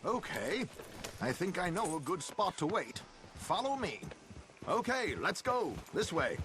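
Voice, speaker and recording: Flamboyant Wild West swindler: high, swooping delivery, pompous and teasing, game cutscene with loud music behind. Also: male voice, sing-song, a little slow, background noise. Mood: wry, breezy. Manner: theatrical, pompous, dryly teasing, florid wording. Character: flamboyant, smooth-talking male con artist in the Old West.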